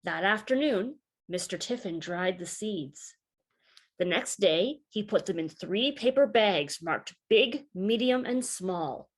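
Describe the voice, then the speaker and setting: Slow, expressive woman reading a children's storybook. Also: medium pitch. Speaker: storybook reader. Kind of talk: children's picture book read-aloud.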